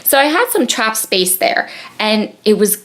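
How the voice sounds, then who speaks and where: A woman's voice: fairly high pitch, sing-song, a little slow, fairly bright tone. A young woman with a cold, chatting casually through a crafting voice-over, full of ums and restarts. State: sniffly with a cold. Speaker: scrapbooking video creator. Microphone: tablet on a gooseneck.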